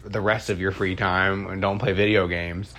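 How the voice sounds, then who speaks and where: A man's voice: low-pitched, little pitch movement, normal speed, a bit bright. A man hosting a podcast in casual comedic chat, speaking in a profane, slangy, opinionated way. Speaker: podcast host. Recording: clearly noisy, humming background.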